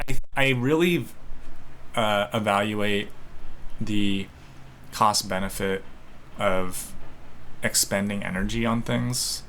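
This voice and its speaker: A man's voice: lowish voice, creaky, fairly bright tone, slight background noise. A young man in casual solo talk, reflecting aloud in a hesitant, rambling way with many restarts. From United States. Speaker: podcaster. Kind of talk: casual solo talk while taking an online quiz.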